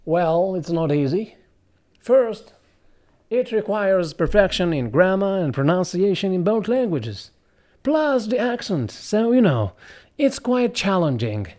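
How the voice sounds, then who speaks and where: Slightly high-voiced man explaining. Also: sing-song, unhurried, darkish tone. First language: Arabic. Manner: earnest and explanatory, with frequent restarts and asides. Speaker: voiceover actor. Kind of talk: casual talk to camera about his craft.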